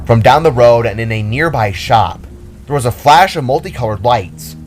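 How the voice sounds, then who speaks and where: A man's voice: medium pitch, expressive, normal speed, soft background music. A man reading scripted narration for an audio drama, as the story's narrator.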